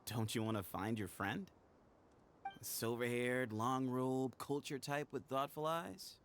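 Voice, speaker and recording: A man's voice: mid-range voice, steady tone, a little slow, a little hiss or hum, natural voice. An actor playing a sly young male guide who talks in a playful, teasing, smug way.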